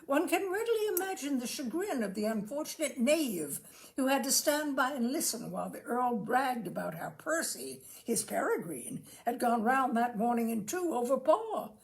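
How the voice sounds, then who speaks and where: A woman with a fairly high voice reads comic prose aloud. Her intonation swoops expressively and often settles into a gravelly creak. The delivery is theatrical, with deliberate phrasing and pauses for effect. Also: big pitch swings. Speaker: reader of literary humour. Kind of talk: expressive reading of a comic essay.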